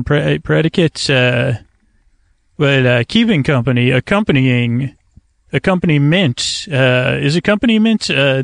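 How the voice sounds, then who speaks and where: A man hosting a sleep podcast with a creaky voice, soothing and meandering, often halting to correct himself and drifting into tangents. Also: mid-range voice, no echo. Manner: soothing, lulling delivery. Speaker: podcast host. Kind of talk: rambling sleep-podcast monologue. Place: outdoors, in a kayak on a river.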